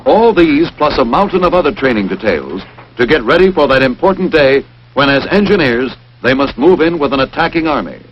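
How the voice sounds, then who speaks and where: A man's voice: medium pitch, expressive, a little fast, slightly rough. A man narrating a wartime training film in an emphatic, punchy 1940s newsreel-announcer style. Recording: dull, hissy 1940s film soundtrack.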